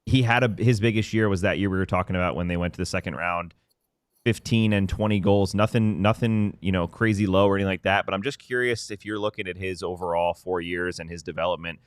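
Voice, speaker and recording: A man's voice: deep voice, steady tone, quick pace, smooth, no room sound, clean studio sound. A man co-hosting a podcast, asking questions in a casual interview style. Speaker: podcast co-host.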